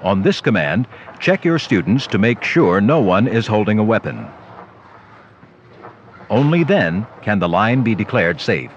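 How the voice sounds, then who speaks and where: A man narrates an instructional film in a low-pitched voice with very lively intonation. His scripted delivery comes through a dull old videotape with faint noise. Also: measured pace.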